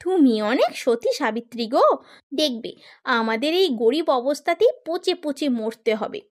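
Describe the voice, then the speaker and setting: A woman's voice: sits high, lively intonation, moderate pace. A woman voicing a greedy, nagging, scheming wife of a poor woodcutter in a children's cartoon, exclaiming and wheedling. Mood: reproachful, sarcastic. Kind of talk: Bengali children's cartoon voice acting.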